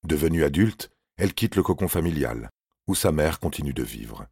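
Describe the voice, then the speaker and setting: A man's voice: extremely low pitch, lively intonation, normal speed, darkish tone, breathy edge, dry recording, clean studio sound. A man narrating scripted paranormal stories in a grave, suspenseful, dramatic manner. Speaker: narrator of a paranormal true-story podcast.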